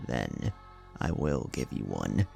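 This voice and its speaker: Guy doing arrogant young ninja for anime story, cold threats, gravelly low voice. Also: very animated, slow pace, dark tone, soft background music, exaggerated voice. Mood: cold menace.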